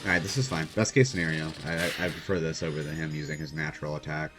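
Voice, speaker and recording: A soft-spoken young man casually commenting on his gameplay as host. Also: extremely low pitch, fairly even intonation, fast talker, music in the background. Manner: quiet, soft-spoken, laid-back. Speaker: gaming channel host.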